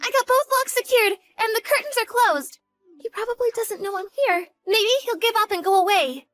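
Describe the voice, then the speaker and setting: A woman's voice: very high-pitched, normal speed, a bit bright, no echo, clean studio sound, faint music behind, voice pushed up. A woman doing a panicky, devoted young daughter. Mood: urgent. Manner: earnest, panicky, pleading. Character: devoted, frightened college-age daughter. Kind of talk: dramatized story acting.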